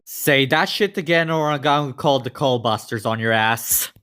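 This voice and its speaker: A man's voice: mid-range voice, moderate pace, pushed and bright, clean tone, lightly acted. A man voicing a brash, vengeful, foul-mouthed young cartoon villain with a taunting, exaggerated delivery in a fan parody dub. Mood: accusing. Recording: roomy echo, faint music behind.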